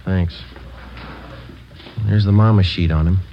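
An actor playing a terse, world-weary detective sergeant in a mid-century radio crime drama, clipped and matter-of-fact. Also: male voice, low-pitched, fairly even intonation, slow, slight background noise. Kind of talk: 1940s-50s radio crime drama acting and narration. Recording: dull old radio recording.

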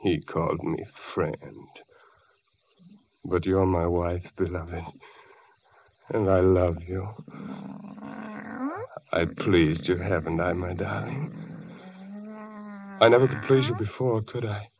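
An actor playing an obsessed, unravelling husband, swinging from hushed pleading to frantic outbursts, in a 1940s radio drama. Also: male voice, sits low, fairly even intonation, slow pace, pauses often. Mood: hushed obsessive. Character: obsessed, unravelling husband, middle-aged man. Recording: dull old radio broadcast.

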